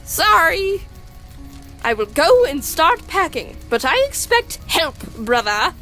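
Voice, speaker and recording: A woman voicing an earnest, fussy young male skeleton in a comic dub, loud, with emphatic, formal diction. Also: big pitch swings, slow, heavily acted. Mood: indignant, loud. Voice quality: loud, high-pitched. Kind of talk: animated comic dub acting. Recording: music behind the voice.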